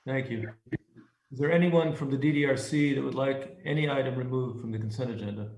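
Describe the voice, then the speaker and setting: A man's voice: mid-range voice, steady tone, brisk, a little mellow. An American man chairing a public meeting, speaking procedurally and off the cuff. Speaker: commission chair presiding over a public meeting. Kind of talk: formal meeting procedure, unscripted. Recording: roomy echo, noisy meeting audio.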